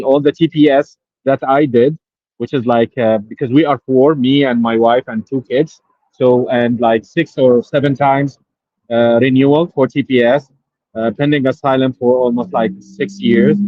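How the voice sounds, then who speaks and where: A man from Syria calling in to a show, telling his case in a halting way, with many pauses and fillers. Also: mid-range voice, fairly even intonation, no room sound, highs cut off. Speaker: caller. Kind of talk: phone-in question.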